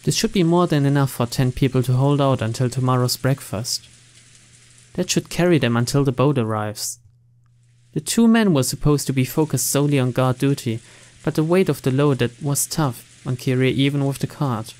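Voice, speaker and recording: A man's voice: medium pitch, moderate pace, darkish tone. Man narrating a visual novel. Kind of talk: reading game text aloud. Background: game music.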